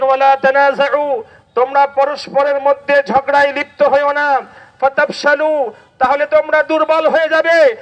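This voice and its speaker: A man's voice: very high-pitched, fairly bright tone, rough recording. A Bangladeshi man giving an impassioned religious protest speech as a cleric, declamatory and exhorting, with rhetorical questions and repetition. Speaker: Islamic cleric addressing a protest rally.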